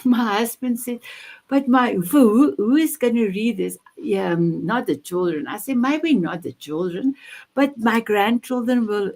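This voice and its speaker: An older woman from South Africa with a South African accent, Afrikaans her first language, telling a story as a guest on a talk show, lively and full of halting restarts. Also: medium pitch. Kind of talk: casual chat.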